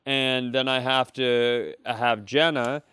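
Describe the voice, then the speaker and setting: A man's voice: mid-range voice, fairly even intonation, slow pace, pushed and bright, clear, resonant tone, dry recording. A man hosting a comedy podcast, telling stories in casual banter and acting out little quotes as he goes. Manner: jokey storyteller, acts out short quotes.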